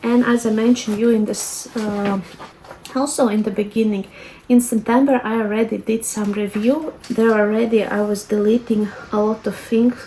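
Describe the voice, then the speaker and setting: A woman in her thirties with a Latvian accent, speaking English as a second language. She calmly walks through her planning notes, pausing often with fillers. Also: a little high, slight background noise, low-quality recording. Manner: soft-spoken, unhurried, many fillers and halting restarts. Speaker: lifestyle vlogger. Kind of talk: reflective planning walkthrough.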